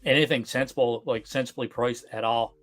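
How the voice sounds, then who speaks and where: Man from Canada, relaxed live chat, middle pitch, dry close sound, faint music. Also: fairly quick, dry recording. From Canada, Newfoundland. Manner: relaxed, chatty, frequent fillers. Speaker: guest collector.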